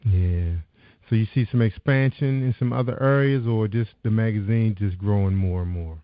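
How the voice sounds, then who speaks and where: A man's voice: fairly low pitch, moderate pace, no echo. A man hosting an interview, chatty and loose, asking rambling questions with many restarts. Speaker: interview host. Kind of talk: casual interview talk. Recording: dull lo-fi dry sound.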